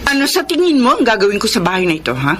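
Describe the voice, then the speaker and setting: A bright, high voice that swoops freely, a proud Filipino widow in a radio drama. She is a flippant, defensive middle-aged mother, heard over faint noise. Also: big pitch swings, very fast. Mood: defensive, indignant.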